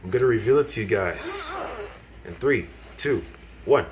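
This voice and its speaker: A man's voice: mid-range voice, wildly swooping pitch, normal speed. A man casually demonstrating gear as a video host, going step by step and repeating himself. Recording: clearly noisy recording.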